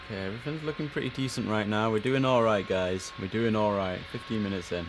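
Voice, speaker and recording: A young man streaming a tower simulator, giving crisp controller calls between chatty asides. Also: on the low side, fast talker, over music. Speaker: streamer playing air traffic controller. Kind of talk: gameplay commentary with radio phraseology. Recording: noisy game stream audio.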